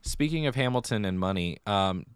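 A man with a very low voice, recorded dry with hardly any room sound. He talks casually as a podcast co-host, leaning on his points with emphasis. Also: expressive, moderate pace, clean tone, no echo. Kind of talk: casual chat about musical theatre.

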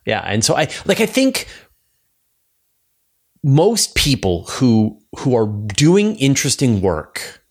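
A man talking casually and enthusiastically as a podcast host, thinking aloud with emphatic points. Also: fairly low pitch. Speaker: podcast host and science news publisher.

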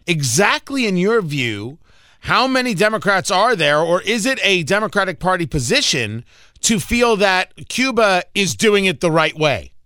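A man hosting a political interview, asking long, deliberate, emphatic questions in halting phrases. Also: high-pitched, very animated, sharp tone, dry recording, clean studio sound. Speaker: radio interviewer, host.

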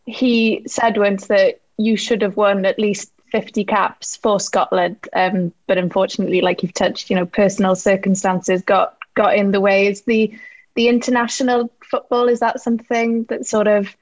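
A woman's voice: medium pitch, flat delivery, normal speed. A woman interviewer asking loose, rambling questions. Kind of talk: conversational interview.

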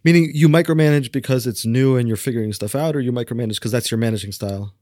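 A man hosting a podcast interview, asking quick follow-up questions and offering brief agreement. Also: medium pitch, dark tone, no room sound, studio quality. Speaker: podcast host. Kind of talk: casual interview.

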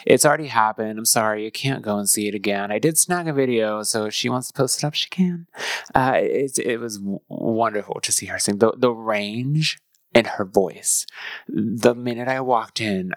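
A man's voice: on the low side, normal speed, no echo. Guy hosting a chill interview, jokey, words dry and close. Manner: playful, rambling questions with "like" fillers. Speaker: interviewer, host. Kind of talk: casual interview chat.

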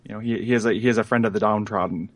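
A man's voice: lowish voice, fairly even intonation, rapid-fire, no room sound. A man hosting a podcast while sick, with a froggy voice, chatting casually and stammering now and then. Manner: stammering, giggly. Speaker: podcast host. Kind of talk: casual chat.

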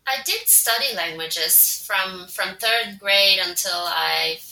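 A Swedish woman in her forties with a Swedish accent, a translator whose native tongue is Swedish, answering interview questions thoughtfully and pausing to find her words. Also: medium pitch, expressive, pushed and bright, a little hiss or hum. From Sweden. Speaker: guest, translator. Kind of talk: conversational interview. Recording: roomy echo.

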